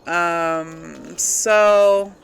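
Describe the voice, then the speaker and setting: Slow-paced woman casually narrating her gameplay. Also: lowish voice, gravelly, smooth. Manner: rambling, thinking aloud, chuckling. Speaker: let's play host. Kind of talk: casual unscripted game commentary, talking to viewers.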